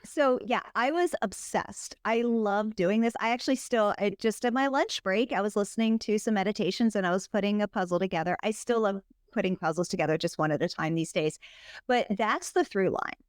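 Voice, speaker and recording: A woman's voice: mid-range voice, a little fast, no echo, clean studio sound. A woman guest on a podcast, telling her story in a bubbly, chatty way and laughing often. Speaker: podcast guest, health coach. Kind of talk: casual interview chat.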